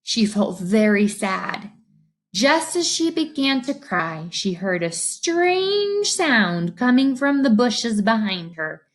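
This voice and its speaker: Female children's storybook reader: expressive, animated intonation, slow deliberate pacing. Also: fairly high pitch. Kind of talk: expressive scripted children's narration.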